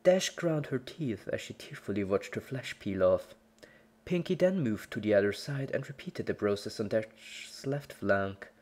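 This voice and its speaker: A woman's voice: a bass voice, lively intonation, measured pace, a little mellow. A woman narrating a scripted horror story as a dramatic reading.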